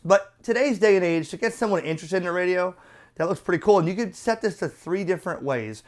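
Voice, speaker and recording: A man's voice: high-pitched, animated, fast talker. A middle-aged man giving a casual product review in a chatty, opinionated, colloquial way. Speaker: radio reviewer.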